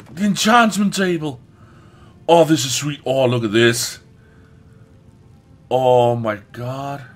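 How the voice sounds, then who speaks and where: A man hosts a casual let's play in a fairly high voice that swings up and down a lot. He takes his time, stretching out words, exclaiming and swearing as he goes. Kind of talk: casual gameplay commentary.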